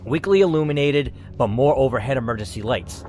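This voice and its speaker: A man hosting a dramatic story reading, who reacts out loud and swears as he weighs each choice. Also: medium pitch, animated, a little fast, slight background noise. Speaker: host reading an interactive story.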